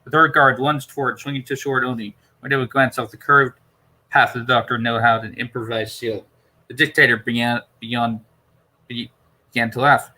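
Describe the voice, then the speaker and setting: A man's voice: mid-range voice, flat delivery, moderate pace, bright, forceful tone, low-quality recording. A man reading a novel aloud as an amateur narrator, now and then stumbling over words. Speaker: amateur audiobook reader.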